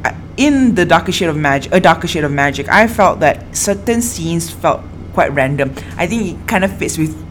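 A woman's voice: lowish voice, animated, normal speed, hardly any pauses. A woman hosting a casual book discussion, talking informally and asking inquisitive questions. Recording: faint background noise.

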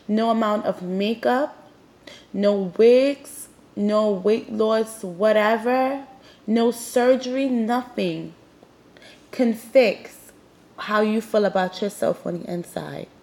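A woman's voice: mid-range voice, slow, a little mellow, rough recording. Nasal, sniffly young woman. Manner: emphatic, blunt, talks straight to listeners. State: sniffly with a cold. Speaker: podcast host. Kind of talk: candid motivational monologue.

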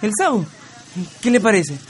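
An actor with a Peruvian accent playing a carefree, naive young mototaxi driver in a radio drama. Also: male voice, sits high, very animated, normal speed. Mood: eager, grateful. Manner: breezy, casual.

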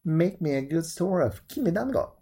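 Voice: mid-range voice, animated, moderate pace, warm tone, husky. A storyteller voicing a brave, cheerful young hero boy in a storybook tale, confident and plain-spoken. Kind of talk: storybook character voice.

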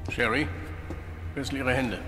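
A man's voice: mid-range voice, steady tone, unhurried, sharp tone, long reverb tail, soft background music. A man playing a domineering, corrupt authority figure, commanding, threatening and mocking, in an audio drama. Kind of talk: audio drama villain acting.

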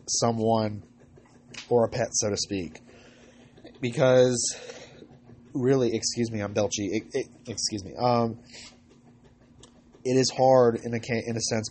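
A man hosting a podcast with informal advice, congested from a cold, speaking in a halting, rambling way and often correcting himself. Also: lowish voice, darkish tone, slight background noise. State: recovering from a cold. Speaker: podcast host.

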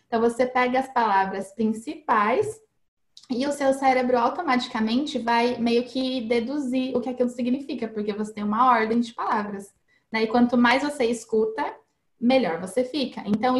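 A woman's voice: on the high side, echoey room. A woman guest giving casual, chatty advice on learning English, explaining with everyday examples and frequent tag questions.

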